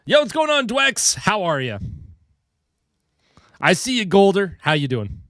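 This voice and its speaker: A man's voice: high-pitched, very animated, a little slow, fairly bright tone, no echo, clean studio sound. A young man with a head cold and a stuffed-up voice, chatting casually on a hockey live stream, greeting viewers and reading their comments. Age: young adult. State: a little tired.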